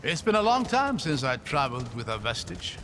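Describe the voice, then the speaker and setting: An actor playing a courteous, wry older scholar-traveler in a cinematic video game, talkative and good-humoured. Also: male voice, medium pitch, brisk, lightly acted. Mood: affable, wry. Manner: courteous, wry, expressive storytelling delivery. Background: background music.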